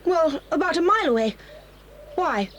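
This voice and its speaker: A woman's voice: very high-pitched, lively intonation, moderate pace, fairly bright tone, a little hiss or hum. An actress playing a spiteful, resentful teenage schoolgirl with a whiny manner, in a 1980s audio drama. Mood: sneering.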